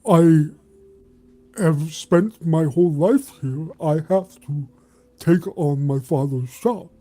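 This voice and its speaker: Foundling in a fantasy tabletop game, played by a man: slow, halting, begging, higher-pitched. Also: warm tone. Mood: pleading, distressed. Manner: pleading, halting. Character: distressed young foundling begging to stay home.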